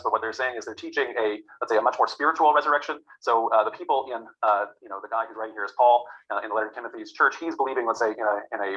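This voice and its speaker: A man lectures at a rapid clip, his voice bright and forward. He rambles, restarts and fills gaps with "uh" and "um". The recording sounds dull and lo-fi. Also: mid-range voice. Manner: rambling, many fillers and restarts. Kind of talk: classroom lecture.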